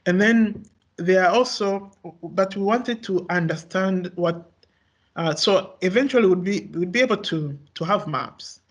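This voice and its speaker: A young man whose first language is Swahili gives an academic research talk, halting often with fillers and restarts. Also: high-pitched. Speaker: researcher presenting.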